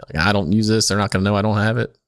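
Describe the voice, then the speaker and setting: A man co-hosting a podcast with casual, comedic chat, rambling and halting as he tells stories. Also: fairly low pitch, fairly even intonation, no echo, clean studio sound. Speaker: podcast co-host.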